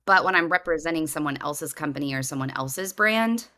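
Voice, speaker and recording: Low-voiced bright woman, lively co-host. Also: brisk. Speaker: podcast co-host. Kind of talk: casual chat.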